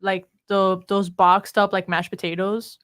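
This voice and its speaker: A woman co-hosting a podcast, chatting casually with many pauses and fillers. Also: medium pitch, flat delivery, fairly bright tone. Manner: hesitant, full of fillers. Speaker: podcast co-host. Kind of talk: casual chat.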